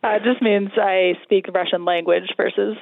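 A woman's voice: mid-range voice, fairly even intonation, normal speed, gravelly, dry recording, narrow, tinny audio. A woman from Colorado with an American accent giving explanatory answers as an interview guest over a remote line. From Colorado, United States. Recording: thin band-limited remote line.

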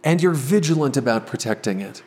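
A man's voice: medium pitch, animated, brisk, darkish tone. A man with an American accent hosting a radio program, moving between scripted narration and interview questions.

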